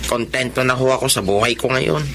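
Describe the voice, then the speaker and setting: A man's voice: mid-range voice, steady tone, brisk, bright, forceful tone, a little hiss or hum, natural voice. An actor playing a humble, self-effacing young working-class man in a Filipino drama, speaking hesitantly and politely.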